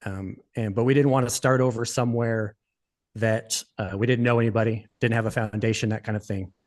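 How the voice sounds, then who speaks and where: Relaxed, rambling man in his forties. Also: fairly low pitch, a little fast. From United States. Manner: relaxed, rambling, many fillers. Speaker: podcast guest. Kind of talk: casual interview chat.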